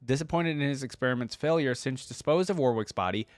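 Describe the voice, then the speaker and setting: A man hosting a gaming reaction video, talking casually and thinking out loud as he comments, addressing his viewers informally. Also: medium pitch, quick pace, no room sound. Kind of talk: casual reaction commentary, some reading aloud.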